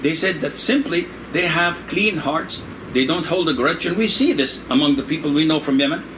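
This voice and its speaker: A man's voice: a little high, normal speed. Older man scholar, joking, noisy room. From Indonesia. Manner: digressive, joking, laughs often. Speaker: Islamic scholar answering questions. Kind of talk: conversational religious discussion. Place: room in Saudi Arabia. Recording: noisy room with air conditioning hum.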